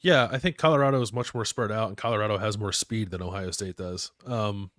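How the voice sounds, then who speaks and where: A man's voice: lowish voice, a little fast, a bit bright, no room sound, clean studio sound. A man co-hosting a sports podcast while he has a cold and is losing his voice, talking casually and hesitantly. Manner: hesitant, clears throat. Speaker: podcast co-host.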